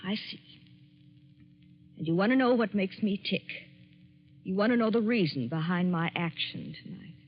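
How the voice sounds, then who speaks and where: Actress as a husky, bitter young woman. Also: medium pitch, normal speed, long pauses, soft background music, close to an everyday voice. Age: young adult. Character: despairing young woman, guarded, bitter and defiant. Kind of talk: 1940s radio thriller acting. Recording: muffled 1940s radio broadcast recording.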